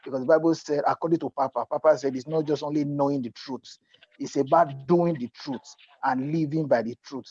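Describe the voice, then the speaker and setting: Middle-aged male panelist, soft-toned and raspy, earnest and repetitive, lo-fi video-call sound. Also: fairly high pitch, no room sound. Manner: repeats and rephrases himself, checks for understanding. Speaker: panelist at a church youth congress. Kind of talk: earnest, unscripted panel discussion. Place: indoor room, video call. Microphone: wired earphone mic.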